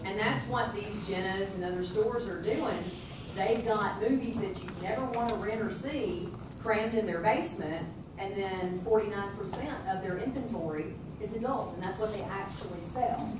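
A woman runs a packed community meeting, brisk and informal as she calls on people. She is heard from far back in a big echoey room, with steady noise around her. The recording comes from a phone held in the audience. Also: mid-range voice. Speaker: meeting moderator. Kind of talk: public meeting, taking questions. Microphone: phone camera in the audience. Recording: echoey hall, distant phone mic.

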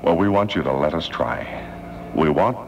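A man's voice: very deep voice, lively intonation, normal speed, vocal fry, slight background noise. A man narrating a hip radio commercial in the style of 1970, as an announcer. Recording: dull vintage AM radio recording. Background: music.

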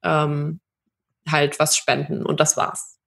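A young woman from Germany telling her own story in an interview, thoughtful, with many pauses and fillers. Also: sits low, flat delivery, creaky, a bit bright. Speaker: podcast guest. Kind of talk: personal interview.

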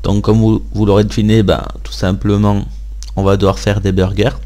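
A man's voice: fairly low pitch, flat delivery, normal speed, mellow. A man hosting a gaming video, giving casual gameplay commentary and thinking aloud in an informal way.

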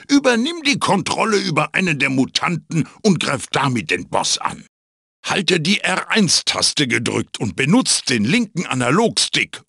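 A man playing a wise, fatherly magical wooden mask in a video game, with a theatrical, instructive manner, dry humor and a gravelly, raspy voice. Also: mid-range voice, bright, forceful tone, no echo, exaggerated voice. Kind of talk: video game character voice acting, tutorial guidance.

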